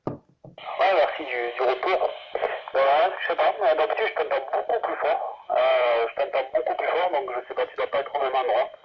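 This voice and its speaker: A man's voice: medium pitch, moderate pace, breathy edge. A man chatting casually as an amateur radio operator, rambling and hesitant, heard through a radio link. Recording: muffled, narrow-band two-way radio transmission.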